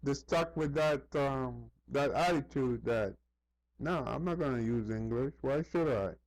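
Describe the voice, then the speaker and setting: A man's voice: medium pitch, measured pace, a little mellow, low-quality recording. A man talking in a casual, rambling monologue, repeating himself, saying "you know" often and acting out other people's remarks as he tells anecdotes. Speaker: vlogger.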